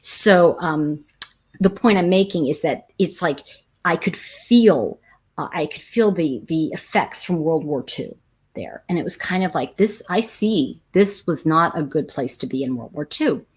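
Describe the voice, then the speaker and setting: Chatty, husky American woman. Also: sits low, animated, a little slow. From United States. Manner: chatty, rambling with many restarts and fillers. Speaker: tarot teacher and host. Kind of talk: casual storytelling livestream.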